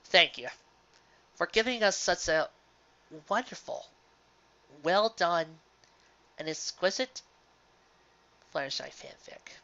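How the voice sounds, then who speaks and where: A bright, forceful voice speaks slowly and earnestly to an audience. The delivery is halting and sentimental, leaning hard on key words with dramatic pauses. It sounds like a dull, lo-fi home recording. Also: mid-range voice, animated. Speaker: live reader of fan fiction. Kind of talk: earnest spoken commentary to an audience.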